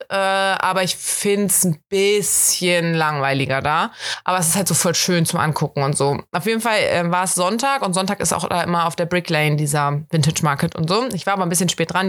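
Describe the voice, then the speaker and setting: A young woman from Germany, hosting a podcast, telling a story in casual, chatty fashion and acting out the quoted bits of dialogue. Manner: chatty and animated, voices quoted dialogue with big pitch jumps. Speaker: podcast host.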